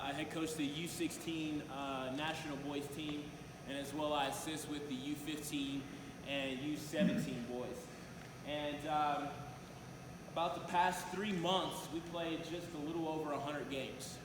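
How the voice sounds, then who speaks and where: A man giving a motivational talk as a coach at a conference, addressing his audience directly with frequent hesitant pauses, in a large room. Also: fairly high pitch. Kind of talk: motivational public presentation. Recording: very echoey noisy hall, long reverb.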